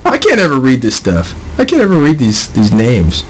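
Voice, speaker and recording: A man's voice: mid-range voice, huge pitch swings, normal speed, slight background noise, low-quality recording. A man hosting a casual paranormal radio show, joking and laughing as he rambles through banter with his co-host. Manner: jokey, laughs often, rambling.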